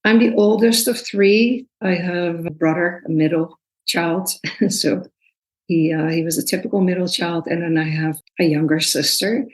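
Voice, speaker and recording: Woman in her sixties from the Netherlands, very low voice, thoughtful, measured interview guest. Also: steady tone, low-quality recording. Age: 60s. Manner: thoughtful, measured, laughs easily. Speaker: guest, personal trainer. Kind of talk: casual interview talk.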